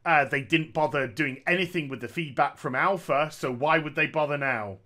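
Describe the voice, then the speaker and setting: Male commentator in his thirties, sarcastic and opinionated, bright and slightly high-pitched voice, speaking on a headset at home. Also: moderate pace. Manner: sarcastic, opinionated, jokey asides. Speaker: gaming commentator. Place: small room at home.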